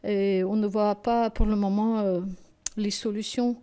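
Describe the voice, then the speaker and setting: A woman from Ukraine with a Ukrainian accent, a native Russian speaker, answering in a television interview; she speaks emotionally and haltingly, searching for words, exhausted and sleepless. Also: mid-range voice, level tone, mellow, smooth. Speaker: studio guest.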